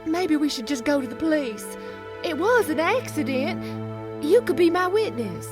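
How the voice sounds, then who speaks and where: A woman's voice: high-pitched, sing-song, unhurried, music in the background. An actress playing a guilt-ridden, wealthy married woman in an emotional audio drama. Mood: guilty, distraught.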